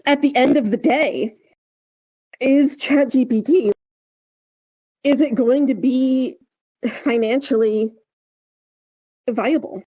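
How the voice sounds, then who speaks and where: A woman's voice: high-pitched, slow, pauses often, dark tone, highs cut off. A woman chatting casually and emphatically as a podcast co-host, wry and discursive, with plenty of "like" along the way. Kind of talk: casual opinionated podcast chat.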